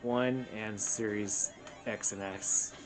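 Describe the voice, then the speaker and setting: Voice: mid-range voice, fairly even intonation, slow pace. A gaming show co-host chatting casually while playing, in short, offhand remarks. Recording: game music and TV sound behind. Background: video game music from a television.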